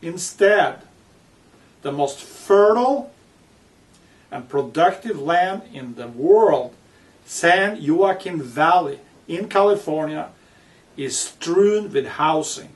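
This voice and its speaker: A man reading his own book aloud with commentary, speaking in deliberate, emphatic phrases broken by pauses. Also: a little high, expressive. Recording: distant microphone.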